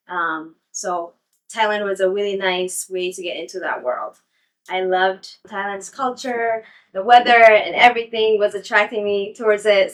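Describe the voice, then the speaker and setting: A young woman, an English teacher, talking casually in an interview with many ums and soft laughs while walking around her apartment building. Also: medium pitch, poor audio. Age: young adult. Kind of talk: casual interview and home tour.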